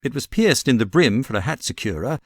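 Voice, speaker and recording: A man's voice: medium pitch, big pitch swings, a little fast, no room sound, studio quality. A man with a British accent reading a Victorian detective story as an audiobook narrator. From Britain.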